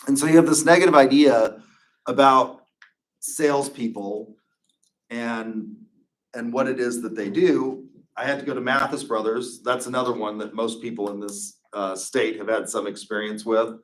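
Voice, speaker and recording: A middle-aged man lectures a marketing class in a loose, conversational way. He rambles through asides and restarts. His voice sits at an ordinary middle pitch. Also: fairly even intonation, moderate pace, rough recording. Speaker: marketing professor.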